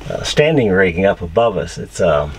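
A man in his fifties with a very low, deep voice gives a chatty walkthrough of a sailboat. He talks into a handheld camera mic as he points out parts, with faint noise in the background. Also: big pitch swings, moderate pace. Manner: chatty, pointing things out as he goes. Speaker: sailing vlogger. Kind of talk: casual show-and-tell vlog. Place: aboard a sailboat, cabin and deck.

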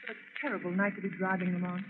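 A woman's voice: on the low side, fairly even intonation, racing, close to an everyday voice. An actress in a 1930s radio drama playing a refined, concerned young lady assistant, earnest and questioning. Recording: noisy, tinny 1930s radio recording.

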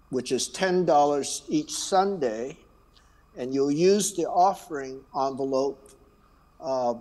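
Man, slow, slightly high voice, friendly church announcements, unhurried asides. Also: darkish tone. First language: Chinese. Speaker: worship leader reading announcements. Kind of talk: church announcements and call to worship. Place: church sanctuary.

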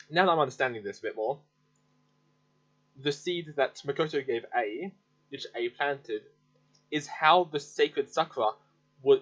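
A man with a husky voice talks through a game casually, as a gaming video maker would. He halts and thinks aloud, and the recording is slightly dull and lo-fi. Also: medium pitch, fairly bright tone. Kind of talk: casual gameplay commentary. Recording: dull, lo-fi mic.

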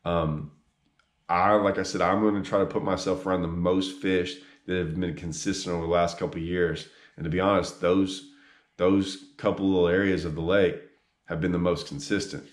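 Deep voiced guy in his 30s, earnest, lots of ums, echoey room, camera mic. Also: steady tone, moderate pace. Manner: earnest, repeats himself, many ums and restarts, gestures with hands. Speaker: fishing guide giving a tournament report. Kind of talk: casual spoken fishing advice to camera. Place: small room indoors. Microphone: camera on a tripod a few feet away.

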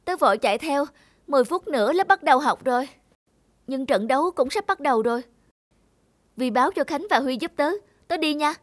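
A woman's voice: high-pitched, lively intonation, fairly quick, no room sound. A woman voicing an earnest, apologetic schoolboy in a cartoon, explaining himself. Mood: urgent. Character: earnest, apologetic schoolboy, devoted big brother. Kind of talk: cartoon dialogue.

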